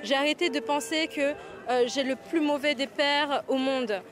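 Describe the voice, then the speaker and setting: A woman from Paris, reflecting on her life in a measured, searching way. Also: sits high, music in the background. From Paris, France. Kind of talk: personal reflective interview.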